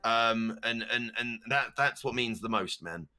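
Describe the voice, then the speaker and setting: A man who stutters, chatting casually as a streamer guest on a podcast and breaking into loud meme voices now and then. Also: mid-range voice, unhurried.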